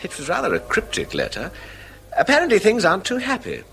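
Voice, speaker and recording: An actor with an English accent playing an anxious, conventional middle-aged brother in a radio drama, fretful and earnest. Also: male voice, sits high, wildly swooping pitch, fast talker, gravelly, sharp tone, soft background music, full character voice. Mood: worried. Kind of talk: British radio drama acting.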